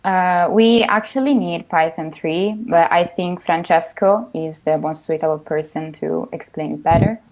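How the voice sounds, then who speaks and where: A woman answers questions spontaneously during a live panel. Her voice sits slightly low for a woman. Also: normal speed, few pauses, darkish tone, poor audio. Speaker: team member answering questions.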